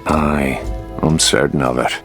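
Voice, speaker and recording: An actor playing a brash, foul-mouthed Irish race car driver in a video game, with a gruff, cocky manner. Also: male voice, a bass voice, fairly even intonation, a little slow. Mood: wry, flirtatious. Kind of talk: video game cutscene acting. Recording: game audio with prominent music.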